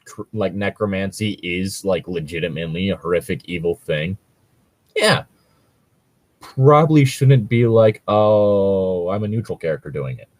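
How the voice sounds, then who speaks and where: A man co-hosting a casual commentary chat about gaming stories, talking in a rambling, halting way. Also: low-pitched, lively intonation, a little mellow, no echo. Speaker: co-host reading and commenting on tabletop gaming stories.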